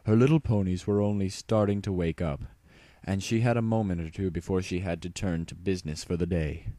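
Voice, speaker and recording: Deep-voiced man narrating a story. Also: fairly even intonation, brisk, mellow, no echo. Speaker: audiobook-style narrator. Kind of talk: dramatic scripted narration of a fan story.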